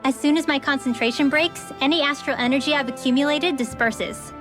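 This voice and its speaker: An actress playing a friendly, confident young mage and guide in a video game, explaining things readily. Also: female voice, sits high, a little fast, fairly bright tone, soft background music. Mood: explanatory, modest.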